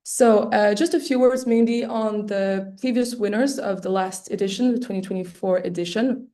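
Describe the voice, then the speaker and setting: Hoarse woman presenting a webinar. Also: medium pitch, moderate pace, dark tone. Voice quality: slightly hoarse. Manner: hesitant, many fillers and restarts. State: losing her voice, clearing her throat often. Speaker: webinar presenter for an arts award. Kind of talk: explaining an application process. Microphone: computer mic on a video call.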